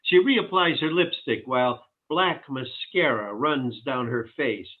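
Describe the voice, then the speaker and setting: A man reading stage directions at a sitcom table read, scripted narration in a husky voice over a thin online connection. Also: mid-range voice, a little slow. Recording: thin, phone-like online call audio.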